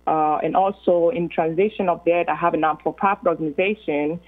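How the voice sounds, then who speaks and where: A woman's voice: on the low side, steady tone, a little fast, faint music behind. A young woman from Ghana with a Ghanaian accent, a guest on a call-in radio talk show, speaking earnestly with many fillers. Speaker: guest accountant. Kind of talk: phone-in radio interview. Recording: tinny phone line.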